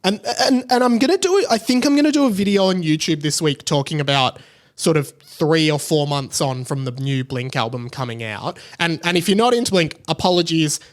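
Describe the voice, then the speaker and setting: A man's voice: fairly high pitch, expressive, fairly quick. An Australian man in his late twenties chatting casually and enthusiastically, as a solo podcast host. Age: late 20s. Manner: enthusiastic, gushing.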